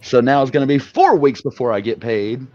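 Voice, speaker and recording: A man's voice: mid-range voice, lively intonation, brisk, a little mellow, dry recording. A man swearing his way through a blunt story on a casual live stream. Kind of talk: profane storytelling. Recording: dull lo-fi sound.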